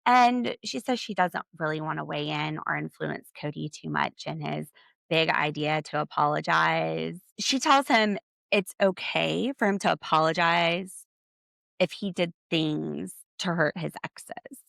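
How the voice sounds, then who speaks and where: A woman's voice: low voice, measured pace, gravelly, a bit bright, dry recording. A woman hosting a solo podcast, recapping a reality TV episode with sarcastic, exasperated commentary. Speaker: podcast host.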